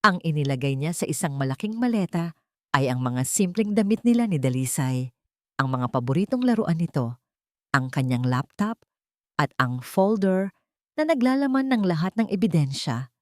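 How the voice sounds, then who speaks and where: A woman's voice: low-pitched, sing-song, moderate pace, no room sound, clean studio sound. A woman narrating a dramatic love story from a script, as a storyteller.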